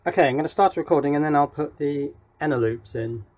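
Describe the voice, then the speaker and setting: A man's voice: fairly high pitch, fast, a little mellow. Man, casual electronics demonstrator. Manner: thinking aloud while working with hands. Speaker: electronics hobbyist presenter. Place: indoor workshop.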